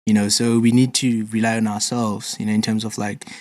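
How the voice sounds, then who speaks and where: A man's voice: on the low side, level tone, fairly quick, a little mellow, dry recording. A man interviewing a podcast host in a casual, easygoing way, with many "you know"s and "like"s.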